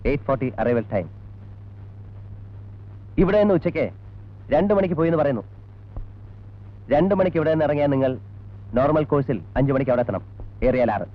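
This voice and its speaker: An actor playing a stern, methodical police investigator in an old Malayalam film, formal and insistent. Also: male voice, on the high side, lightly acted. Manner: formal, insistent, mixing in English words. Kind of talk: 1980s Malayalam film acting. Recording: dull old film soundtrack with music.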